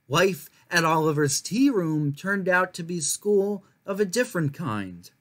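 High-voiced young man reading aloud. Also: a bit bright. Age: young adult. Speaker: children's storytime reader and host. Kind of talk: reading a picture book aloud to viewers.

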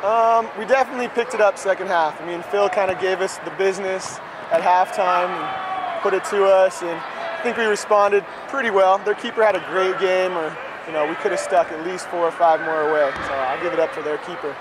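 High-voiced male player, noisy arena. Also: a little fast, crowd noise. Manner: relaxed, loose answers with fillers. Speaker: soccer player. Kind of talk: postgame interview. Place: indoor arena floor.

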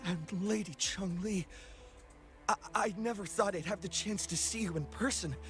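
An actor playing an injured, exhausted young explorer in an anime game, weak and breathless, desperate yet stubborn. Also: male voice, high voice, moderate pace, fairly bright tone. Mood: weak, grateful. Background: game music.

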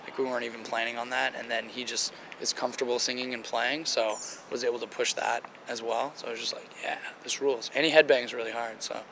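Late-20s male singer, gravelly and a bit hoarse, laid-back interview talk, outdoor take with faint traffic. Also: medium pitch, quick pace, few pauses. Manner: relaxed, chatty, many "like" fillers. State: slightly rough, strained voice. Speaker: band singer. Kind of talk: casual interview answers. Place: outdoor table by a river. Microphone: handheld interviewer mic near the table.

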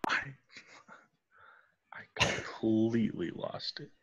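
A man playing an exasperated, self-conscious, earnest woman journalist with halting delivery in a comedy table read. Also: fairly low pitch, steady tone, a bit bright, breathy edge, full character voice. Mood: hesitant confession. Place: at home on a video call. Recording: muffled video call audio.

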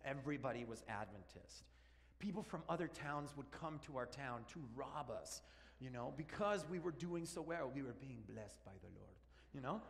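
A man in his forties from Argentina, a native Spanish speaker, preaching an animated, joking sermon while walking around the stage. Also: a little high, gravelly. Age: 40s. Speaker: pastor. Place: large church sanctuary. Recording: long church hall reverb.

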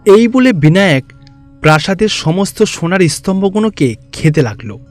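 High-pitched male storyteller. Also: expressive, moderate pace, no room sound, soft background music. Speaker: narrator. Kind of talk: scripted fairy-tale narration.

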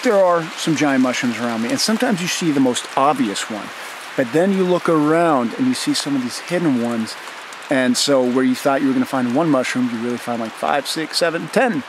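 A man in his thirties hosting a vlog outdoors in a rainy forest, talking casually and reacting with excitement as he films himself up close. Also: mid-range voice, fairly quick, background noise. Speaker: channel host. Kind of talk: casual vlog chat and voice-over. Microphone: handheld camera close to the face.

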